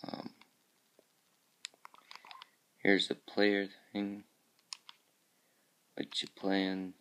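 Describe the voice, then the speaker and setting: A man walking through how he built his game levels, talking casually with a groggy, raspy voice because he has just woken up, often trailing off into quiet mumbles. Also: low voice, completely flat delivery, extremely slow, slight background noise, low-quality recording. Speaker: level creator showing his game work. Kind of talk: casual screen-capture walkthrough.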